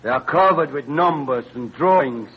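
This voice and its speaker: A man's voice: a little high, expressive, normal speed. An actor playing a stern, grumbling farmer father in an old-time radio drama. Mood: stern, disapproving. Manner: gruff, disapproving. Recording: dull vintage radio recording.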